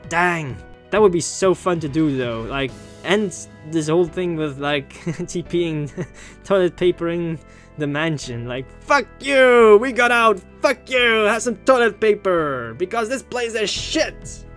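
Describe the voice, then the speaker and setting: A man giving casual, jokey, expressive let's-play commentary on a video game. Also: high-pitched, a little slow. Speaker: gaming video host. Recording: game music behind the voice. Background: game music.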